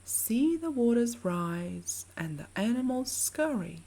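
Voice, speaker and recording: A woman with a soft, breathy voice narrating a scripted guided sleep meditation in a soothing, measured, gently instructing way. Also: fairly high pitch, big pitch swings.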